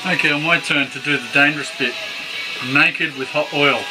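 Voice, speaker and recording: A man's voice: on the high side, fairly even intonation, moderate pace, over music, low-quality recording. A middle-aged man giving casual, matter-of-fact cooking instructions in a small boat galley. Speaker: co-host doing the frying. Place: small sailboat galley indoors. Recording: clear frying and boat noise behind.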